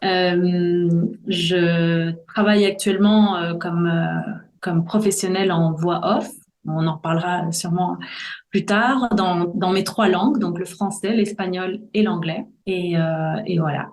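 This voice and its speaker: A woman's voice: fairly low pitch, fairly even intonation, a little slow, darkish tone. Earnest woman in her forties, roomy sound. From Belgium. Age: 40s. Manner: earnest, reflective, searching for words. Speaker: guest. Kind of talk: personal testimony in a podcast interview. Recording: roomy echo.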